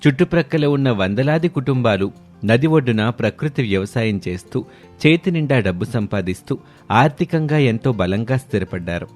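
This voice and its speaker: A man narrating a scripted moral story in the manner of a storyteller. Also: medium pitch.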